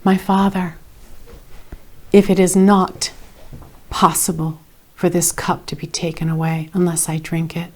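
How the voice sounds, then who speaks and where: A solemn, resolute man of sorrows in a scripture story, voiced by a woman. The delivery is measured and often drops to a hush, with a low pitch for a woman. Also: little pitch movement. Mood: anguished, hushed.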